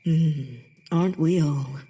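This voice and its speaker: A woman's voice: low-pitched, slow, dark tone, slightly rough, heavily acted. An actress playing a bitter, theatrical Victorian woman poet in a comedic period audio drama, with a haughty, sardonic delivery. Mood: defensive, wry.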